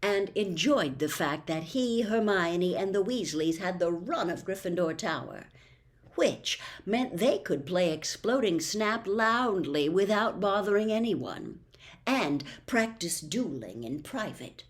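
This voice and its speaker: A woman reading a storybook aloud as narrator, in an expressive storytelling style. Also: lowish voice, slow pace. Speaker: audiobook reader.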